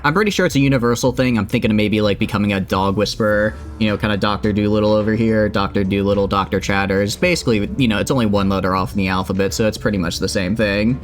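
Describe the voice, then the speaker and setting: A man's voice: lowish voice, fairly even intonation, quick pace, hardly any pauses. A man chatting with viewers while streaming a game, rambling and joking at his own expense. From United States. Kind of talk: casual gameplay stream chat.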